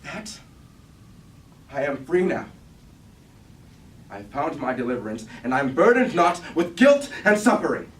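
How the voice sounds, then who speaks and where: A man's voice: fairly high pitch, big pitch swings, measured pace, pauses often. A man playing a grave, war-weary robot warrior leader haunted by guilt, in solemn, declamatory Greek tragedy style at a staged reading. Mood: sorrowful, brooding. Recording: echoey room from far off, hiss.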